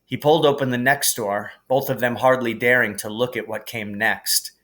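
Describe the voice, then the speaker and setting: A man's voice: mid-range voice, steady tone, moderate pace, a bit bright. A man reading a children's novel aloud as a storybook narrator.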